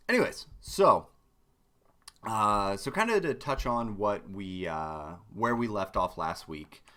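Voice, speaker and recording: Hoarse, low-voiced man streaming game dev. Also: a bit bright. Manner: rambling, many ums and restarts. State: hoarse from illness. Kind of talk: casual live-stream coding tutorial.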